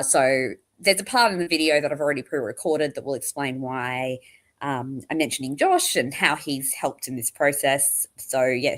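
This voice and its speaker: A woman hosting a casual livestream, chatty and rambling, her voice croaky and tired, greeting viewers one by one. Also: low-pitched. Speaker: livestream host.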